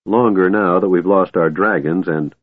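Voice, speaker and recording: Man playing an exasperated, husky half-elf hero. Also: low voice, moderate pace, phone-quality sound. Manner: snapping, impatient, forceful. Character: exasperated, commanding half-elf male adventurer.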